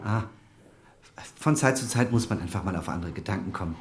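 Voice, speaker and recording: An actor playing an effusive, nosy restaurant owner in a radio play, jovial, solicitous and prying. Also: male voice, sits low, animated, a little fast, slight background noise. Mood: prying, chatty. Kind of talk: German radio play acting.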